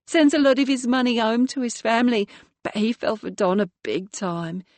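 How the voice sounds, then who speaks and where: A woman's voice: on the high side, moderate pace, dry recording, lightly acted. Woman voicing a chatty gossipy young woman. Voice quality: chatty, confiding.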